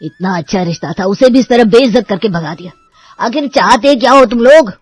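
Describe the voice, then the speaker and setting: A woman's voice: a little high, expressive, brisk, husky, no room sound, faint music behind. A woman voicing a stern, frustrated middle-aged father in a cartoon story. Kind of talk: Hindi cartoon story voice acting.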